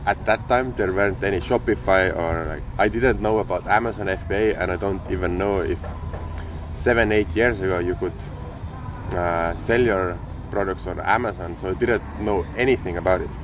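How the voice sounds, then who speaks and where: A man with a low voice talks outdoors on a city street, with traffic plainly audible around him. He explains his experience steadily, one step after another, in a relaxed conversational way. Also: normal speed. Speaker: podcast co-host, entrepreneur. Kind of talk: casual conversation. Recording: clear street and traffic noise.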